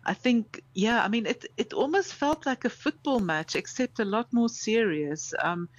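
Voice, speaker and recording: A South African woman in a casual discussion, talking thoughtfully, with restarts and soft laughs. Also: a little high, lively intonation, normal speed, no room sound. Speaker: correspondent. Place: in Kenya. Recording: slightly dull lo-fi audio.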